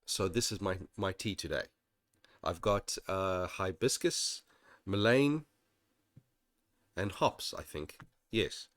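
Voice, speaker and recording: A man hosting his own channel, rambling and digressive as he talks through his thoughts. He sounds a little nasal, still recovering from being ill, with a lowish voice. The recording is dry and close. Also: lively intonation, takes it slowly, pauses often, a bit bright, no room sound. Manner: rambling and digressive, with frequent restarts. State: recovering from illness, slightly nasal; occasional coughing. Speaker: channel host. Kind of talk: casual commentary monologue.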